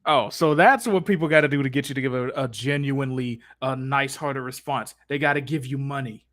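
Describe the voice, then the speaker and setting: A man's voice: mid-range voice, brisk, a bit bright, dry recording, studio quality. A man hosting a casual livestream Q&A with slangy, mock-exasperated banter. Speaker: livestream host.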